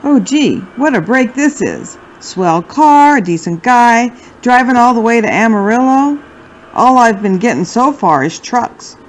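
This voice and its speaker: A woman's voice: a little high, lively intonation, measured pace, rough recording. A woman playing a chatty, easygoing hitchhiker in a radio play, breezy and plainspoken, using old-time American slang. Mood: delighted, breezy.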